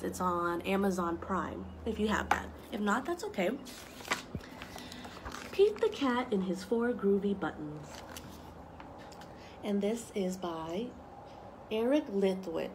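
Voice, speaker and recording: A woman in her thirties reading a picture book aloud to young children in an animated way, with chatty asides and questions, recorded outdoors on a deck at about arm's length. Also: mid-range voice. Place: outdoor wooden deck with trees around. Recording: noisy outdoor home video. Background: clear outdoor ambient noise.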